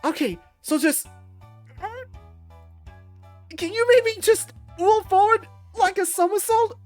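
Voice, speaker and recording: A woman's voice: very high-pitched, moderate pace, a little mellow, no echo, faint music behind, voice pushed up. A woman voicing a cheeky, upbeat young male fighter who teases and encourages, in a visual novel. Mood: eager, straining. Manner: teasing, encouraging.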